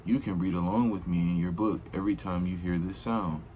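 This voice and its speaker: A man's voice: deep voice, steady tone, brisk, warm tone, slight background noise. A man reading a children's storybook aloud in an informal, rambling way, with casual slangy asides.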